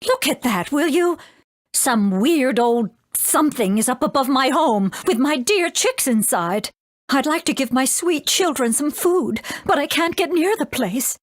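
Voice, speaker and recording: A woman's voice: high voice, expressive, unhurried, no room sound, studio quality. A woman voicing a brusque but doting mother condor in a video game. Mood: brusque, worried. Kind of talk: English game dub.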